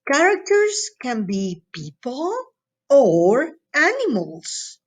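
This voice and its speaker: Slow-paced woman teacher. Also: high-pitched, huge pitch swings, breathy edge. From Latin America. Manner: instructional, encouraging, checks in with "okay" and "right". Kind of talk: recorded lesson addressed to students.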